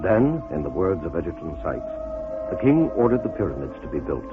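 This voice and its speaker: A deep-voiced man narrates a scripted radio documentary over prominent music. The recording is narrow and phone-like, like old radio. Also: very animated, fairly quick.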